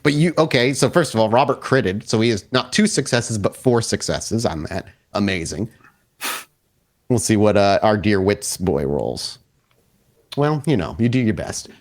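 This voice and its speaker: A man improvising narration as game master of a tabletop horror game, descriptive and pausing as he builds suspense. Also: fairly low pitch, animated.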